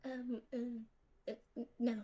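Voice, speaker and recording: A woman playing a timid, obedient young boy god in a fantasy audio drama, hesitant, stammering and soft-spoken. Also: fairly high pitch, little pitch movement, measured pace, highs cut off. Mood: nervous. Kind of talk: fantasy audio roleplay acting.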